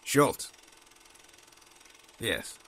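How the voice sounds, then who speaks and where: A man's voice: drawn-out words, a bit bright, a little hiss or hum. A man playing an impatient, dismissive senior government official in a scripted audio drama, with a curt, pompous manner. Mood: exasperated.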